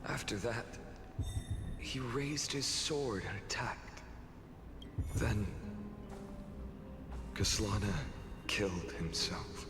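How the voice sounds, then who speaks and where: An actor playing an anguished, vengeful warrior worn down by endless sorrow, with solemn, dramatic video game delivery. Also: male voice, lowish voice, expressive, drawn-out words, long pauses, full character voice. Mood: weary sorrow. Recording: dramatic music behind the voice.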